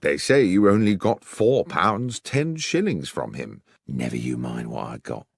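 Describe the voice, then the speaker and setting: A man voicing a gruff, boastful murderer in an audiobook story, speaking with menace. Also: sits low, very animated, unhurried, no echo, clean studio sound, pitched below the performer's own voice, heavily acted. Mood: menacing, boastful. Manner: threatening, boastful. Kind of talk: audiobook character acting.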